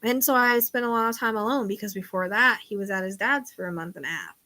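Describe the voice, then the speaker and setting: A woman's voice: mid-range voice, fast. Rambling, confiding middle-aged woman. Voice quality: loud voice. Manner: rambling, confiding, trails off quietly. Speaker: psychic livestream host. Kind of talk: casual chat.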